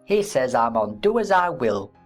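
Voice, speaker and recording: A woman's voice: rumbling low, sing-song, moderate pace, soft background music, voice pushed down, heavily acted. A woman voicing a sullen, defiant little country boy who drawls in dialect in a dramatized classic novel. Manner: drawling, surly, dialect-speaking.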